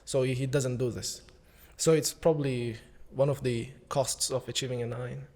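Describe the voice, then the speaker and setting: A young man, a podcast guest and school co-founder, chatting in a relaxed, good-humored, anecdotal way. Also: mid-range voice, moderate pace, big-room sound. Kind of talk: casual interview conversation.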